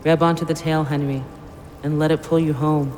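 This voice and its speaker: An actor playing an otherworldly male spirit guide who speaks in a solemn, gently coaxing manner in an audio drama. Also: fairly high pitch, little pitch movement, normal speed, slight background noise, close to an everyday voice. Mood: solemn, luring. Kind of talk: naturalistic audio drama acting. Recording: long cavernous reverb over music. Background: music.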